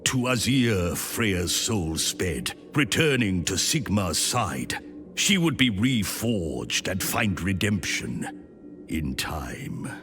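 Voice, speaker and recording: A man's voice: deep voice, very animated, slow pace. A man delivering dramatic scripted narration for a game, solemn and theatrical. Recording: prominent music behind the voice.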